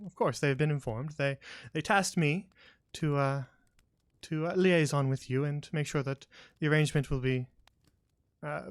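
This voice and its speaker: A man's voice: a little high, no echo, clean studio sound, voice pushed up, lightly acted. A man voicing a nervous young nobleman who stammers and hesitates.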